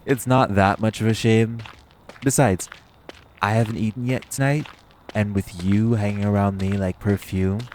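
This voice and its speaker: A man's voice: on the low side, steady tone, normal speed, a little mellow, slight background noise, lightly acted. A man playing a cocky, teasing vampire in an audio roleplay, bantering with a hunter in a smug, sardonic, flirty way and swearing freely. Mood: menacing, flirty. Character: cocky, teasing, hungry male vampire carrying his hunter rival. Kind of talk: audio roleplay acting, addressed to the listener.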